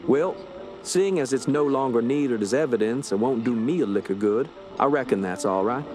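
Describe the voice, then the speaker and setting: Southern lawman played by a man, medium pitch, polite, folksy and doubtful, radio drama over clear music. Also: normal speed, a little mellow, lightly acted. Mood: courteous, grave. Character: courteous, skeptical Southern police inspector from Louisiana.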